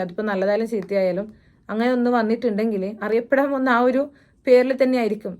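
A woman speaks very quickly in a slightly high voice. She hosts reaction commentary, delivering her opinions in a disapproving, sarcastic manner. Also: darkish tone. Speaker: reaction video host. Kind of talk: opinion commentary on a viral video.

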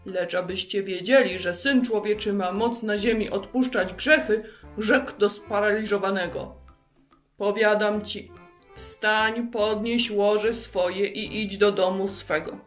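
Expressive woman storyteller for children. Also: mid-range voice, slow, soft background music. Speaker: children's Bible show presenter. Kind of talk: expressive scripture reading and talk to children.

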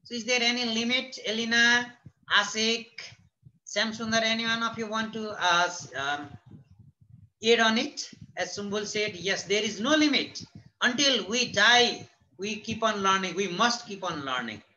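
A man in his thirties with a Nepali accent moderates an online panel as a teacher, speaking haltingly and repeating phrases for emphasis. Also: high-pitched, sharp tone, lo-fi sound. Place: indoors, plain-walled room. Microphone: computer mic on a video call. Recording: noisy video-call audio with clicks.

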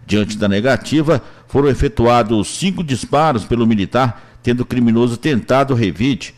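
A man's voice: mid-range voice, normal speed, darkish tone. A man with a Brazilian accent reading scripted police news reports as a reporter.

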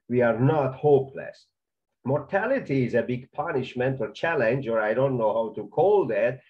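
A middle-aged man with a Hungarian accent, a conductor giving an informal music history lecture from his home office, enthusiastic, with halting non-native phrasing. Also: medium pitch, mellow. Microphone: webcam at desk. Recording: dull lo-fi webcam audio.

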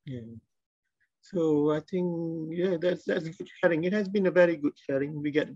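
A man's voice: on the high side, steady tone, normal speed, darkish tone, dry recording. A middle-aged man, casually moderating a panel over a video call. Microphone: video call microphone. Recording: dull, lo-fi video call audio.

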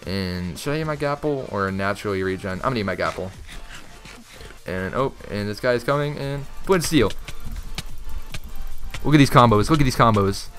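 A young man talks through his own gameplay in a chatty, excitable way, addressing viewers directly. His voice sits on the low side and sounds strained and worn from shouting. Faint music plays underneath. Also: normal speed, pauses often. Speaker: gaming YouTuber. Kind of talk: casual gameplay commentary.

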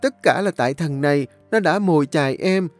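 A man's voice: mid-range voice, lively intonation, normal speed, a little mellow, no room sound, soft background music, lightly acted. A man voicing a desperate young village woman who pleads and wails for mercy. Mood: begging, wailing. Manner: wailing, pleading.

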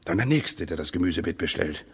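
An actor in a radio play voicing a dryly ironic, resigned husband, speaking in measured, formal phrases with a husky, gravelly voice. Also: male voice, low voice, expressive, fairly bright tone, exaggerated voice. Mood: sardonic, needling. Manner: measured, dry, sardonic, formal wording. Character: bitterly ironic, resigned husband trapped underground with his wife.

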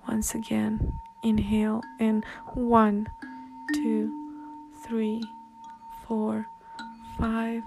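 A woman guiding a meditation in a soft, hushed, breathy way, leaving long pauses between instructions. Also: a little high, completely flat delivery. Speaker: meditation guide. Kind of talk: guided meditation narration. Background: soft meditation music.

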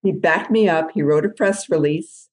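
A woman's voice: normal speed, darkish tone. A woman drum therapist, a podcast guest, telling stories with energy and acting out other people's voices. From New Jersey. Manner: acts out other people's voices with big jumps in pitch. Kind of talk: animated storytelling in conversation.

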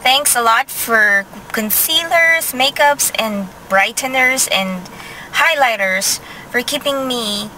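A young Filipino woman vlogging to the camera from her car, sounding sleepy and a bit hoarse, chatting in a meandering way and correcting herself as she goes. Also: a little high, lively intonation, slow pace, bright, forceful tone, slight background noise, rough recording. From Philippines. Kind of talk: casual vlog talk to camera. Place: inside a parked car. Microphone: handheld camera close to face.

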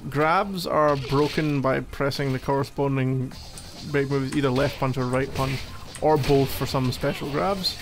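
A man's voice: medium pitch, steady tone, a little slow, few pauses, music in the background, lightly acted. A man playing a needy, playfully exasperated gamer boyfriend in a casual, intimate ASMR roleplay, teasing as he talks. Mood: explaining patiently. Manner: teasing, mock-exasperated, conversational.

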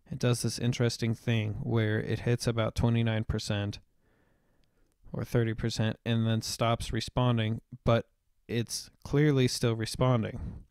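A young man explaining software setup step by step in a screen-recorded tutorial voice-over, with the odd "um". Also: medium pitch, clear, resonant tone, no echo, studio quality. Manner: explanatory, step-by-step, with occasional fillers. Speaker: tutorial presenter.

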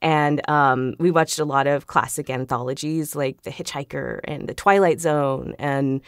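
Low-pitched woman chatting casually, dry sound. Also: fairly even intonation, unhurried, no room sound. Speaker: podcast guest, video game voice actor. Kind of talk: casual, chatty interview talk.

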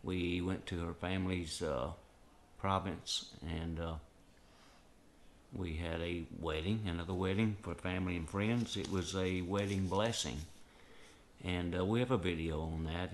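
Deep-voiced older Southern man. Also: fairly even intonation, unhurried, a little hiss or hum. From Southern United States. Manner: earnest, rambling with frequent "and, uh" fillers. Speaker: vlogger. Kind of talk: casual storytelling to camera. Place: living room, indoors.

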